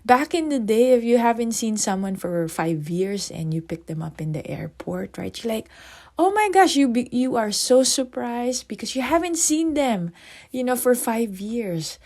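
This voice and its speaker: A middle-aged woman from the Philippines, husky this morning, hosting her podcast in a chatty way and asking her listeners questions. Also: a little high, normal speed. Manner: chatty, asks listeners questions, animated asides. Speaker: podcast host and psychotherapist. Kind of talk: podcast monologue.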